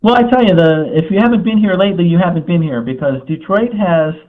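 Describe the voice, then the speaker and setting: A man who works as an automotive journalist, answering interview questions in a relaxed, informative way. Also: high voice, fast. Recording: tinny phone line.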